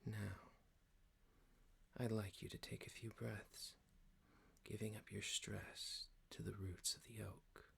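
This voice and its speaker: A man leading an ASMR guided meditation in a breathy, mostly whispered voice, soothing and hushed with lingering pauses. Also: fairly low pitch, flat delivery.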